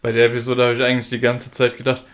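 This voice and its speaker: A man's voice: mid-range voice, completely flat delivery, very fast, clean tone. A man co-hosting a casual podcast, making dry, wry remarks. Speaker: podcast co-host. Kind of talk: casual chat.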